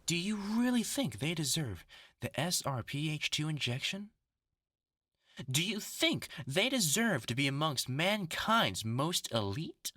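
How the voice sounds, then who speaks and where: A gloating, vengeful superhuman scientist played by a voice actor in a horror audio drama. The delivery is slow and theatrical, swinging widely in pitch with mocking menace. The voice is bright and sharp, recorded very dry and close. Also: very animated, no room sound. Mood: menacing, mocking.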